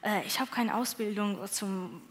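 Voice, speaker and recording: A young woman from Iran with a Persian accent, a native Persian speaker, giving an earnest, halting testimony on a church stage with many fillers. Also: mid-range voice, fairly even intonation. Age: young adult. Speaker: church moderator and interpreter.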